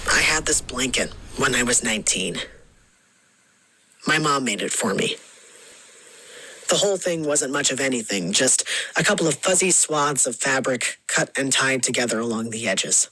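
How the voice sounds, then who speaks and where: A man playing a reflective storyteller, delivering a scripted first-person monologue in a measured, wistful manner. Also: mid-range voice, pushed and bright, slightly rough, poor audio. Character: reflective grown storyteller recalling a lonely childhood.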